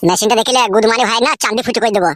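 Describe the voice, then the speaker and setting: A woman's voice: on the high side, rapid-fire, no room sound, clean studio sound, voice pushed down, lightly acted. A woman voicing a menacing, boastful male street thug in a comic cartoon, swaggering and threatening.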